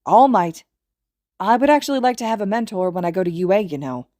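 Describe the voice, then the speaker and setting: A woman voicing a cheerful, earnest teenage boy in an audiobook, with an eager and expressive delivery. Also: medium pitch, brisk, dry recording, studio quality, voice pushed up, close to an everyday voice. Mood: exasperated.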